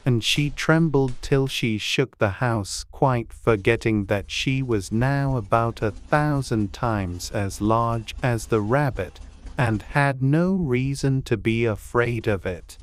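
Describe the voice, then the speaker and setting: A man narrating a classic children's story as an audiobook reader, measured and gentle. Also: medium pitch.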